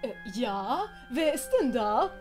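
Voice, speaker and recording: Woman as kindly, pompous older doctor, animated. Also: fairly high pitch, slow pace, music in the background. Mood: fatherly, solemn. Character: kindly, pompous learned older man Dottore. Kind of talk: audio drama character voice.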